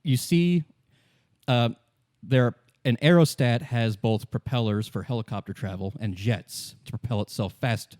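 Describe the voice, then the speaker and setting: A man narrating as game master, explaining fictional lore in a measured, solemn and deliberate storytelling manner. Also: mid-range voice, darkish tone, no room sound, clean studio sound. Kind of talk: improvised lore exposition in a tabletop game.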